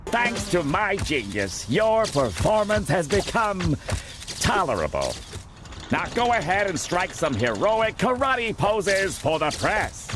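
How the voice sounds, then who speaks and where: High-pitched, pompous director. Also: male voice, wildly swooping pitch, takes it slowly, noisy background, heavily acted. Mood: smug. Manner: pompous, commanding, condescending. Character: pompous, bossy, impatient male film director. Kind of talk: animated video game voice acting. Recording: game music behind.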